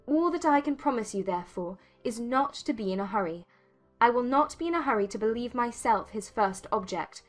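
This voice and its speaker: A woman playing a witty, spirited young gentlewoman in an audiobook drama, expressive and articulate, teasing yet sincere. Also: fairly high pitch, fairly quick, soft background music, lightly acted. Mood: earnest, spirited.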